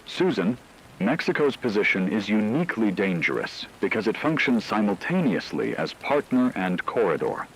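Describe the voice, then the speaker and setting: A deep-voiced, solemn male news anchor acted by a man reads a fictional emergency radio bulletin. His delivery is measured and formal but moves noticeably in pitch. A faint hiss sits behind the voice. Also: big pitch swings, rough recording. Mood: grave, urgent. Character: grave male radio anchor reporting a looming war.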